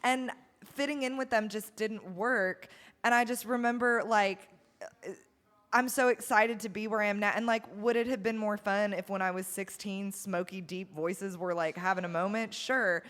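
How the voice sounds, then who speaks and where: A woman singer with a deep, smoky voice, talking in a rambling, self-correcting way in casual chat over drinks. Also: steady tone, normal speed, a bit bright, in a large hall. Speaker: singer, guest.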